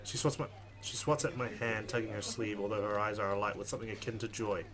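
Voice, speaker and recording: A man's voice: lowish voice, fairly even intonation, fast talker, fairly bright tone. A man reading a visual novel aloud as a let's play host, slipping in his own asides.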